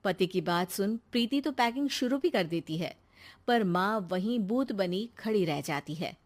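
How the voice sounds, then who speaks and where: A woman's voice: mid-range voice, expressive, measured pace. A woman reading scripted narration for a family moral story.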